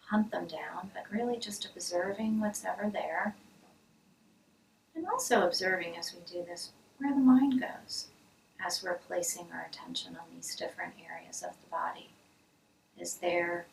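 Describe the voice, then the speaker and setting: A woman leading a guided body scan meditation as a mindfulness teacher, speaking softly and soothingly with pauses, often trailing into a breathy near-whisper. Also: on the low side. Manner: soft, soothing, measured with pauses. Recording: roomy, distant mic, hissy background noise.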